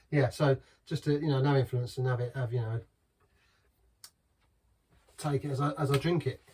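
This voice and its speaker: Middle-aged man, dark mellow tone, rambling casual beer review, mic set back from him. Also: medium pitch, fairly even intonation, moderate pace, husky. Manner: rambling and chatty, with many restarts. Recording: voice from some distance from the mic.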